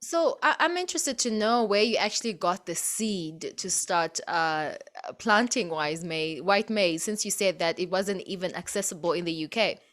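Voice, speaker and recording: A woman's voice: on the low side, moderate pace, fairly bright tone. A woman hosting a conversational radio interview, putting questions to a guest.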